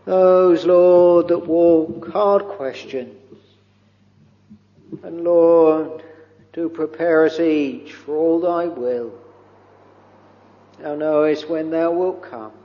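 Slow pleading man praying in a hall. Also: a little high. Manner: slow, pleading, intoning with many "oh" and "Lord" interjections. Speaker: minister leading prayer. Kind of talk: extempore pulpit prayer in old-fashioned English. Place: church or chapel. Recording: dull lo-fi recording with long hall reverb.

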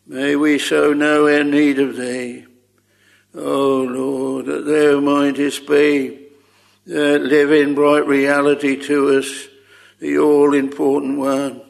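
A man's voice: on the high side, completely flat delivery, slow, creaky. A man leading an extempore public prayer, pleading earnestly in short repeated phrases with old-fashioned thee and thou wording. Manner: short repeated phrases, trailing soft endings.

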